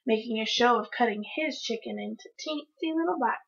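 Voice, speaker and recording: A woman's voice: on the high side, a little fast, creaky, husky, dull audio. A woman reading a children's story aloud, narrating expressively in the first person. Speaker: storybook reader.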